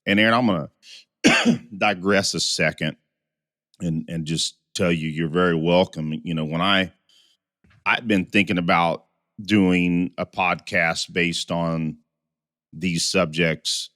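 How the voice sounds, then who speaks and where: Low, scratchy-voiced male podcast co-host. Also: steady tone, slow pace, a bit bright, dry recording, studio quality. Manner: repeats and restarts words. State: scratchy voice after a cold. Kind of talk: casual podcast chat.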